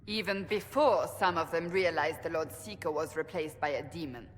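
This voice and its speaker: A woman's voice: low-pitched, moderate pace, close to an everyday voice. A woman as a stern warrior, echoing hall. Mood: alarmed, accusing. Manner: blunt, severe. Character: blunt, suspicious, stern female warrior. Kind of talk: dramatic fantasy game acting. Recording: long hall reverb, faint music.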